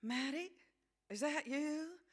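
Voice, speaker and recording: A woman's voice: high-pitched, expressive, slow, pitched above the performer's own voice. A woman voicing a tired, anxious teenage farm wife and mother in a dramatised novel reading, sounding apologetic. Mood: weary surprise.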